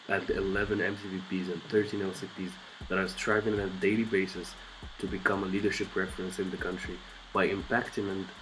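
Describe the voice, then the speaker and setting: A man in his twenties from Morocco giving an earnest, measured personal pitch, pausing between listed items. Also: a little hiss or hum, low-quality recording. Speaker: candidate. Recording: voice over loud background music.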